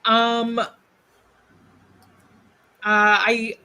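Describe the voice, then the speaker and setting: Bright-voiced tabletop player, casual. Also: mid-range voice, monotone, very slow, clear, resonant tone. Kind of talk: casual chat.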